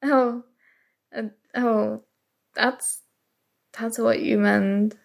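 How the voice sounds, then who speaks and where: A woman's voice: a little high, steady tone, drawn-out words, vocal fry, heavily acted. A woman acting as a nerdy, flustered college student in an intimate ASMR roleplay, speaking hesitantly and emotionally with stammers. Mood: tender, unsure. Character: nerdy, flustered young female college student confessing a crush.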